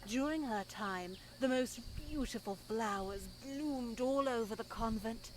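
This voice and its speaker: An actress playing a stern, pious nun who lectures formally and solemnly, with lingering pauses, in a scripted visual novel. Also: female voice, a little high, background noise, natural voice. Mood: solemn, unsettling.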